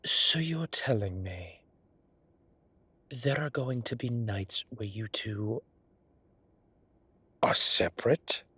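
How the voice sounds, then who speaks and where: A man's voice: medium pitch, expressive, slow pace, long pauses, sharp tone, slightly rough, dry recording, heavily acted. A man playing a flamboyant, mischievous male boss in a roleplay audio drama, with an arch, playful, theatrical way of talking. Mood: incredulous, flirty.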